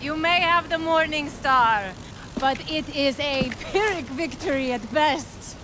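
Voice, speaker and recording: A woman's voice: sits high, measured pace, background noise. A woman playing an imperious, coolly menacing villain in a video game, formal and haughty. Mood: contemptuous. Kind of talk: cinematic video game acting.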